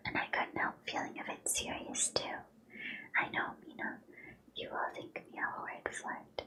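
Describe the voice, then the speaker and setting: A woman reading a classic novel aloud in a steady whisper. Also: very high-pitched, poor audio. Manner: whispered throughout. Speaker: reader.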